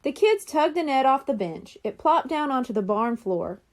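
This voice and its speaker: A woman reads a children's chapter book aloud as narrator. Her voice is high and very lively in pitch. The delivery is animated storytelling. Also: moderate pace. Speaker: audiobook reader.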